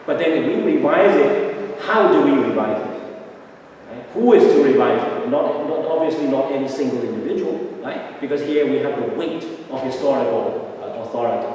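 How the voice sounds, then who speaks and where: A man's voice: medium pitch, expressive, moderate pace, a little mellow. A man whose first language is Cantonese, giving an academic talk, hesitant, with many fillers, repeated words and a frequent "right?". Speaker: academic lecturer. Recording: echoey hall, distant mic, faint noise.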